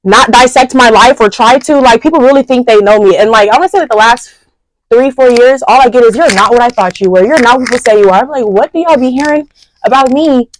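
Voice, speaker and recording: A woman in her twenties with an American accent chatting casually as an interview guest, candid, expressive and full of slang. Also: a little high, quick pace, no room sound, poor audio. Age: 20s. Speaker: podcast guest, entrepreneur. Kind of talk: casual chat.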